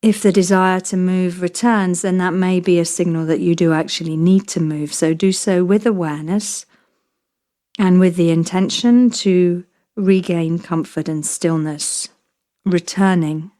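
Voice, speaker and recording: A woman yoga nidra teacher leading a guided relaxation meditation in a soothing, measured way, leaving long pauses between short phrases. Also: fairly low pitch, steady tone, mellow.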